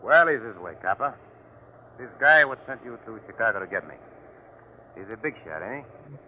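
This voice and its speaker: An actor playing a rough, wary hired gangster in a 1940s radio drama, talking coarse street slang. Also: male voice, medium pitch, expressive, normal speed, pushed and bright. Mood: wary, probing. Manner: slangy, coarse, ungrammatical tough talk. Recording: faintly noisy 1940s radio broadcast.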